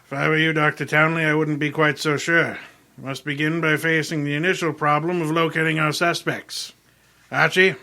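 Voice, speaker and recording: An actor playing an imperious, unflappable genius detective in old-time radio style, formal, precise and pompous. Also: male voice, a little high, level tone, moderate pace, vocal fry, sharp tone. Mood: commanding.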